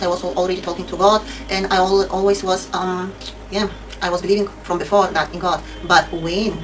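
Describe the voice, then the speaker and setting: A woman's voice: medium pitch, far from the mic, low-quality recording. A woman from Bulgaria with a Bulgarian accent, speaking earnestly and devoutly as she answers viewer questions about her faith, often using Arabic religious phrases. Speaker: convert sharing her story.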